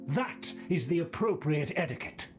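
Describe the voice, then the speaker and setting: An actor playing a pompous, easily offended aristocrat in a video game, with scornful, elaborate formal diction. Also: male voice, fairly high pitch, lively intonation, normal speed, over music, natural voice. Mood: indignant, condescending. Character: haughty, easily offended male aristocrat of a declining clan. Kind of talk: theatrical video game voice acting.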